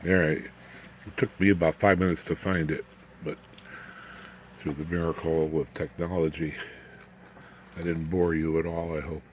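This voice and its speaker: Low-voiced man in a casual tech demo. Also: level tone, normal speed, long pauses, a little hiss or hum. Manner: folksy, rambling, jokey asides. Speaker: amateur radio electronics video host. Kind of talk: casual off-the-cuff technical demonstration.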